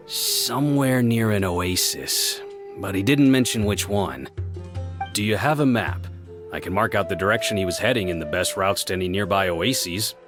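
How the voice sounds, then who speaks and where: A man voicing a friendly, unhurried village guard. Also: lowish voice, natural voice. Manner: friendly, unhurried, thinking aloud. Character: helpful male village guard.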